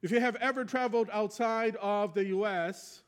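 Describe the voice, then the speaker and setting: Pastor: man, Brazilian accent, native Portuguese, fairly high voice, conversational preaching with personal stories. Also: moderate pace, clean tone. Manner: reflective storytelling, conversational. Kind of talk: sermon.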